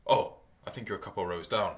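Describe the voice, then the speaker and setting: A man's voice: low voice, quick pace, close to an everyday voice. A man playing a startled but helpful immigrant householder in a radio drama.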